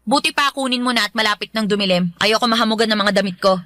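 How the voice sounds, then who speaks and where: A woman's voice: medium pitch, quick pace, pushed and bright, dry recording, clean studio sound, lightly acted. An actress playing a nagging, scornful stepmother in a Filipino radio drama, scolding and accusing indignantly. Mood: nagging, irritated.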